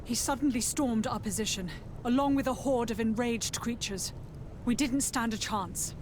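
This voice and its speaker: A woman's voice: normal speed, lightly acted. A woman playing a dutiful soldier in a video game, formal and steadfast. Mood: grim, respectful. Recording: clear rumbling outdoor noise behind.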